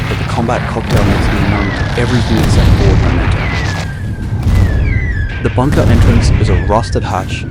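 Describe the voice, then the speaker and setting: A man reading a dramatized first-person audiobook in a gritty, grim, intense storytelling manner. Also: on the low side. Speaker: audiobook narrator. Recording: loud music behind the voice.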